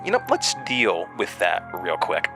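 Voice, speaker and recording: A man's voice: deep voice, wildly swooping pitch, a little slow, over music, exaggerated voice. An actor playing a malevolent entity with a man's borrowed voice, mocking and theatrical in a horror audio drama. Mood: smug, amused. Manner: mocking, sardonic, theatrical, toying menace.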